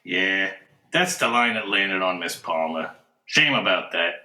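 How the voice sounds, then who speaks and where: A gruff working-class rigger, blunt and slangy, low-pitched but very sharp and forceful. Radio play delivery in an echoey space. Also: male voice, animated, a little slow, heavily acted. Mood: gruff, offhand. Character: gruff, brash male theater rigger, blue-collar. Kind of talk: radio play character acting.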